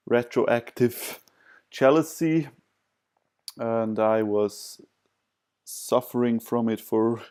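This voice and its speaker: Male, non-native English speaker, for an advice video. Mellow, even voice with little melody, halting and hesitant, searching for words. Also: medium pitch, smooth. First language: not English. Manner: hesitant, halting delivery with long pauses and repeated words. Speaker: video presenter sharing personal advice. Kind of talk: unscripted advice talk to camera.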